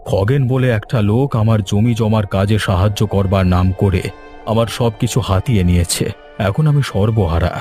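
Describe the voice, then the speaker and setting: A man voicing a betrayed, dignified village headman in a cartoon fairy tale, grave and deliberate. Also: lowish voice, mellow, soft background music, lightly acted. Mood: sorrowful.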